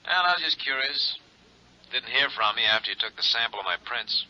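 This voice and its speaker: An actor playing an overconfident, slippery suspect in a 1950s radio drama, breezy and falsely friendly. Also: male voice, mid-range voice, brisk, pushed and bright. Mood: breezy, confident. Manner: breezy, confident. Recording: dull 1950s radio recording.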